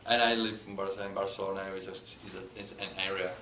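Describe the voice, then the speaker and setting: Man, Spanish accent, middle-aged, halting speech, lots of fillers, echoey room, background hiss. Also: deep voice, level tone, bright, forceful tone. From Spain. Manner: halting, many filler "uh"s and restarts. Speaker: company president, interview guest. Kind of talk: hesitant unscripted interview answers.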